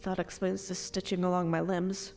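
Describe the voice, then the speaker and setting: An actress playing a grieving, stitched-together woman brought back from death, speaking in anguished, halting phrases in an audio drama. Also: on the low side, flat delivery, darkish tone, natural voice. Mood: wary, questioning. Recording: long reverb, music underneath.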